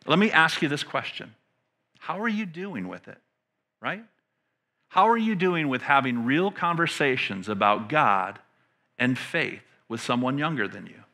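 Bright, punchy American male preacher. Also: medium pitch, big pitch swings. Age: middle-aged. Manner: emphatic, pauses to pose questions to listeners. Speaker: pastor. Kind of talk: conversational sermon teaching. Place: indoor stage in a church room.

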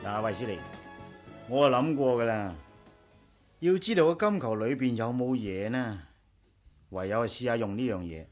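An actor plays a stubborn male engineer, eager and proud of his gadgets, in a 1980s radio drama. He has a Hong Kong Cantonese accent. His mid-pitched voice swings widely as he argues his point. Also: expressive, moderate pace, pauses often, music in the background, lightly acted.

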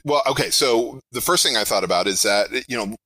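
A middle-aged man with a big projecting voice, talking in a rambling, animated way as a podcast interview guest. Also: medium pitch, brisk, no room sound, poor audio. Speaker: game designer guest.

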